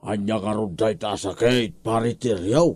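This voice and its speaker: A man's voice: fairly low pitch, steady tone, measured pace, darkish tone, no room sound. A man with an Ilocano accent playing a gullible, curious village drinking buddy in a comedy audio drama, drawing out his puzzled questions.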